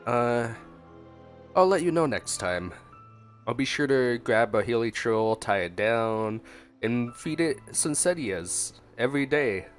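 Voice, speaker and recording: A man's voice: medium pitch, expressive, takes it slowly. A man giving casual, slangy, chatty reaction commentary as he plays a video game. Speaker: gaming streamer. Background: faint game music.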